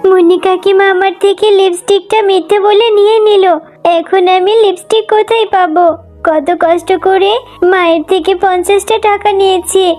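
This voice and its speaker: A woman's voice: very high-pitched, a little mellow. A woman voicing a sweet, naive little fox girl in a children's cartoon, plaintive and emphatic.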